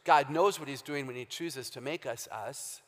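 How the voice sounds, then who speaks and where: A woman with a very low, deep voice giving an emphatic, humorous faith talk as a guest speaker, quizzing a live audience in a large hall. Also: normal speed. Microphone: handheld or stage microphone. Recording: long hall reverb, live stage talk.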